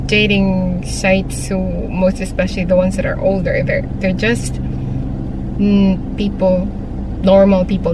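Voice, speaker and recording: A woman from the Philippines with a Filipino accent, talking casually and candidly in a vlog, often laughing and restarting her sentences. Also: medium pitch. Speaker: vlogger. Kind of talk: casual talk to camera. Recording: outdoor recording with clear traffic noise.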